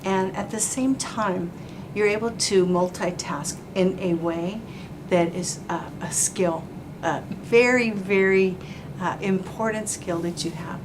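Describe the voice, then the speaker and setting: A woman hosting an interview, warm and formal, full of praise and asides. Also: medium pitch, slow pace, background noise. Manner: effusive, praising, fond of "you know" and "like". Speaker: interview host, chamber of commerce president.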